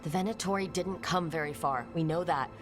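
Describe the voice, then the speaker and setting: A woman acts out a steady, determined fantasy game heroine with a low voice. She speaks in short, earnest phrases, with music playing clearly behind her. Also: fairly quick, natural voice. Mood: grave, urgent. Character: determined adult female adventurer leading a rescue, serious, steady. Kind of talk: video game voice acting.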